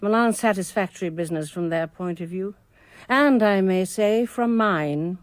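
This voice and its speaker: An actress playing a composed, authoritative headmistress, speaking formally and discreetly in a radio mystery drama. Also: female voice, mid-range voice, moderate pace, dry recording, lightly acted. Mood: composed, reserved.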